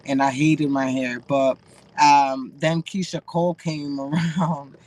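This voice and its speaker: A woman's voice: low-pitched, flat delivery, slow pace, a little mellow, poor audio. A woman with a mix of a Dominican and a Massachusetts accent, chatting casually as a guest on a video call and using lots of slang and fillers. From Dominican family, raised in Massachusetts. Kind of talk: casual chat on a live call. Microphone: remote video call.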